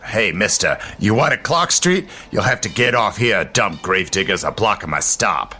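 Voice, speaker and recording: An actor playing a brash, loud streetcar conductor who chats with a passenger. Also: male voice, on the low side, lively intonation, normal speed, faint music behind. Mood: shouting. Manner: loud, brash, barking at traffic. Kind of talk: audio drama acting.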